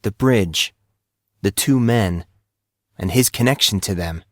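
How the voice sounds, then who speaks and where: Guy narrating a novel, deepish voice, scripted and dramatic, super dry recording. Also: slow, a bit bright, no room sound. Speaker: audiobook narrator.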